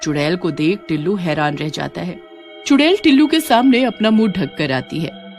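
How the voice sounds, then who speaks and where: A woman's voice: fairly low pitch, lively intonation, moderate pace, faint music behind. A woman reading scripted horror story narration as the narrator.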